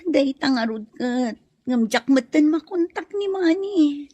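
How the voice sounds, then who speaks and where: A woman's voice: high voice, animated, a little slow, darkish tone, no room sound. A woman playing an earnest, lovestruck young single mother in an Ilocano audio drama, with a plaintive delivery. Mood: anxious, pleading.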